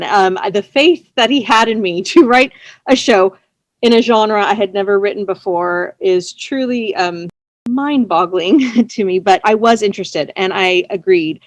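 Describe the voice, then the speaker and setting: A woman being interviewed, telling stories casually in a chatty, expressive and self-effacing way. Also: medium pitch, no room sound. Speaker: interview guest, audio drama writer. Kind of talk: casual conversational storytelling.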